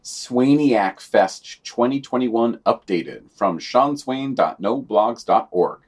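A man's voice: mid-range voice, animated, slow, a little mellow, husky. A man co-hosting a podcast, reading out short news summaries with dry, wry comments. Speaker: podcast co-host.

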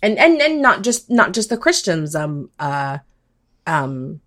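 A woman's voice: sits low, sing-song, takes it slowly, a bit bright. A woman in a casual, reflective podcast discussion, deliberating tentatively and repeating words as she builds a point.

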